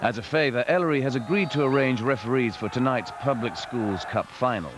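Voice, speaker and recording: A man giving calm, matter-of-fact scripted documentary narration. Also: mid-range voice, fairly quick. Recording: hissy old tape.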